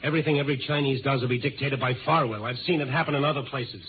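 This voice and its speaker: Actor as a hard-boiled reporter, tinny old radio. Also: male voice, mid-range voice, rapid-fire, background noise, natural voice. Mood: urgent, insistent. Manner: hard-boiled, clipped, blunt. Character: tough, world-weary male Chicago newspaper reporter. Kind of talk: 1950s radio noir acting and narration. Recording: tinny 1950s radio recording. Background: music.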